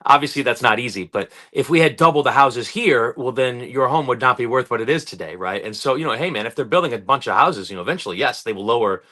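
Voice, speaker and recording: A man's voice: mid-range voice, expressive, fast talker, few pauses, pushed and bright. A man co-hosting a casual talk show, bantering and laughing easily. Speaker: podcast co-host. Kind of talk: casual chat.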